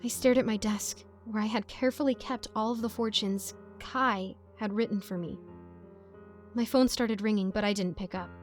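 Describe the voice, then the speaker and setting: A woman's voice: mid-range voice, steady tone, normal speed, faint music behind. A woman reading a scripted first-person horror story as its narrator, in a dramatic style.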